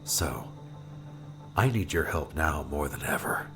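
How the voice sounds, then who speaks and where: Man acting a low-voiced, troubled young adventurer. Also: animated, slow, creaky, music in the background, exaggerated voice. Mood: hushed, sincere. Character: hurried, troubled young male adventurer pleading for help. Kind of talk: audiobook character acting.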